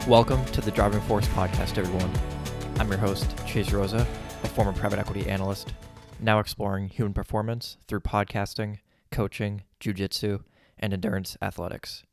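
A man hosting a podcast, reading a measured introduction and asking interview questions. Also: lowish voice, level tone, over music. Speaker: podcast host.

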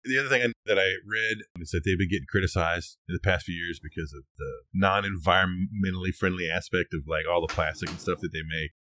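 Middle-aged guy podcast cohost, deep voice, no room sound, just casually telling facts. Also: fast talker, hardly any pauses, bright, forceful tone, no room sound. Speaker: podcast co-host. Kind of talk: casual conversation, sharing researched facts.

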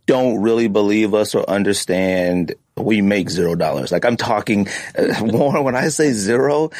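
A man's voice: on the low side, no room sound, studio quality. An American man hosting a podcast in casual, rambling chat, often checking in with the listener as he goes. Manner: rambling and conversational, with frequent "you know". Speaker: podcast host. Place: podcast studio.

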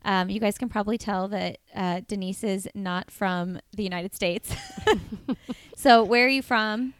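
A woman's voice: medium pitch, big pitch swings, dry recording, clean studio sound. A woman hosting a podcast, chatting and interviewing a friend in an enthusiastic, friendly way. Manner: chatty and enthusiastic, quick to laugh. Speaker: podcast host. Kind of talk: casual interview chat.

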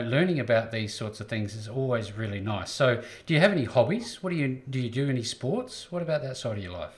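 A man hosting an interview show, asking questions with upbeat, encouraging interjections. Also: lowish voice. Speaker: show host.

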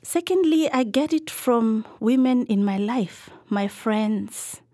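A middle-aged woman from Kenya, a podcast guest answering in a thoughtful, measured way with some fillers. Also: on the high side, animated, mellow, no echo, studio quality. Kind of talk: reflective interview answers.

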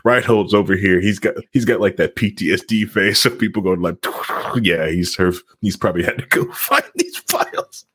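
A man's voice: low-pitched, normal speed, fairly bright tone, breathy edge, no room sound. A man hosting a tech talk show in casual banter, rambling and jokey, often laughing.

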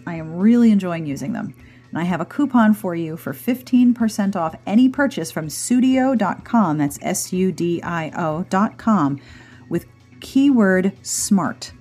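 Chatty woman podcast host, lowish voice. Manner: chatty, playful, warm delivery of jokes and thanks. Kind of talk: casual podcast outro with sponsor read.

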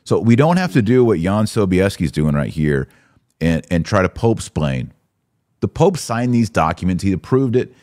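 A man's voice: deep voice, sing-song, moderate pace, darkish tone. A man hosting a podcast, giving opinionated religious commentary in an emphatic, exhorting manner with rhetorical questions. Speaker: podcast host.

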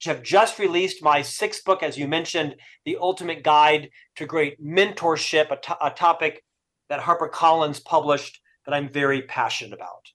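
A man's voice: fairly high pitch, normal speed, fairly bright tone. Upbeat middle-aged man with a stutter. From Florida, USA. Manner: upbeat, self-deprecating humor. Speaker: guest, author and speaker. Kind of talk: interview conversation.

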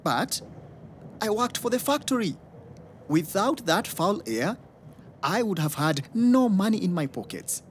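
A woman's voice: mid-range voice, sing-song, unhurried, long pauses, slight background noise. A woman playing a frustrated factory worker in a radio play, who speaks in an earnest voice and rallies others with chant-like calls. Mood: complaining. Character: frustrated city factory worker rallying people.

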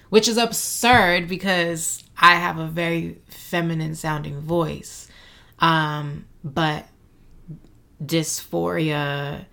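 Slow, gravelly-voiced woman guest. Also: sits low, sharp tone. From Mexico City and San Antonio, Texas. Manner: thoughtful, searching for words, frequent um and like. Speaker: interview guest. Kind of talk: reflective interview conversation.

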